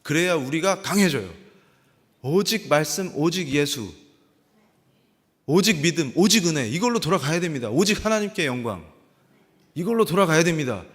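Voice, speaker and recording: A man's voice: fairly high pitch, expressive, moderate pace, long pauses. Emphatic man preaching in an echoing hall. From South Korea. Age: 40s. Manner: emphatic, preachy, with rhetorical questions. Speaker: professor giving a guest church lecture. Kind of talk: impassioned sermon-like lecture to a congregation. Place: large church hall. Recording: long echoing hall reverb.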